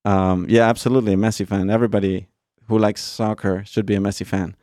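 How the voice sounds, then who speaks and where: A man from Argentina, a priest, telling his story in a thoughtful, conversational way, pausing with fillers and often checking with "right?". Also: on the low side, little pitch movement, a little mellow, dry recording, studio quality. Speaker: priest guest.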